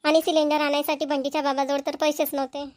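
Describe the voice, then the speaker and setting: A woman's voice: high voice, flat delivery, fast talker, a little mellow, dry recording, natural voice. A woman playing a practical, nagging village wife and mother in a cartoon comedy, with a Vidarbha Marathi accent.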